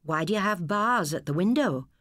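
A woman's voice: mid-range voice, expressive, normal speed, a bit bright, no room sound, clean studio sound, natural voice. A woman voicing a moody, sulky runaway schoolboy in an audiobook story. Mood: secretive. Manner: sulky, defensive.

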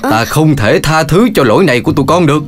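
A man's voice: fairly high pitch, lively intonation, quick pace, close to an everyday voice. A man voicing a stern, exasperated middle-aged father in a children's cartoon, lecturing emphatically with a Southern Vietnamese accent. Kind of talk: children's cartoon dub acting. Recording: loud music behind the voice.

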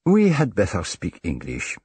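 A man's voice: lowish voice, huge pitch swings, measured pace, darkish tone, breathy edge, dry recording, voice pushed up, heavily acted. A man voicing a fussy, clever, middle-aged foreign detective in an audiobook, precise, formal and a little pompous. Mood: courteous. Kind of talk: audiobook character dialogue.

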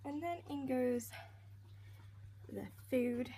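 A young woman with a slightly croaky voice, chatting casually as she narrates each step of a pet-care routine while filming on a handheld phone at home. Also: sits high, takes it slowly, dark tone. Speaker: pet keeper vlogger. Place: indoors at home by snail tanks. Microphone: handheld phone camera. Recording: noisy home phone recording.

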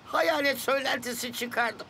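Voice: high-pitched, moderate pace, gravelly, bright, forceful tone, slight background noise, full character voice. A performer voicing a greedy, scheming building contractor, the villain of a children's cartoon. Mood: scheming confession. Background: music.

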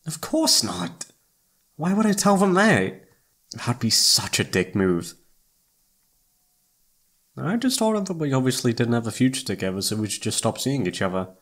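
A man plays an impulsive young male best friend in a roleplay audio drama. His voice is mid-pitched and swings widely as he talks. He speaks casually and emotively, with a hesitant, lovestruck awkwardness. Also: big pitch swings, moderate pace, long pauses, fairly bright tone. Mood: frank, mildly defensive. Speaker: voice actor.

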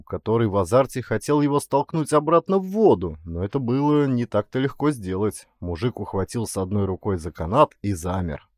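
A man narrating a literary story as an actor would, in an expressive, dramatic storytelling manner. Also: medium pitch, dry recording, clean studio sound.

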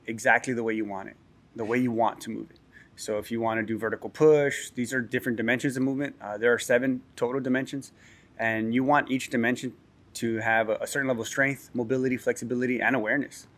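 A man in his thirties sits for a documentary interview inside a training gym. He explains his ideas earnestly at a fast pace, in a mid-pitched voice. His sentences are full of fillers and restarts. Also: fairly even intonation. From Texas and Chicago. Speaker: gym owner and ninja athlete.

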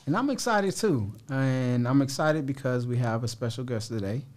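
Young American man hosting, halting delivery. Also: mid-range voice, lively intonation, a little mellow. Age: young adult. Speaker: podcast host. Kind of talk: casual chat, interviewing. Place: small podcast studio, indoors. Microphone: close studio microphone, headphones.

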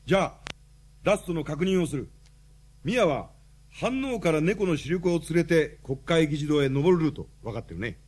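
A man's voice: on the high side, lively intonation, normal speed, a little mellow, slightly rough. An actor playing a stern but patient revolutionary chairman, briefing his team formally and with authority in a radio drama. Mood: commanding. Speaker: leader giving a briefing.

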